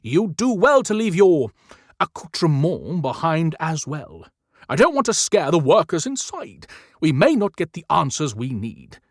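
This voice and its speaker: Guy doing a tired sensible santa in charge, bit formal, lifted pitch, dry audiobook sound. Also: very animated, unhurried, dry recording, exaggerated voice. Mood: firm, exasperated. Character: Santa Claus as weary, reasonable, authoritative leader. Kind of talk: cinematic audiobook character acting.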